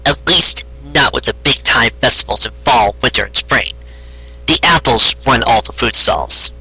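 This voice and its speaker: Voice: medium pitch, animated, unhurried, pushed and bright, slightly rough, exaggerated voice, poor audio. A storyteller voicing a casual, frank young female unicorn companion in a matter-of-fact way.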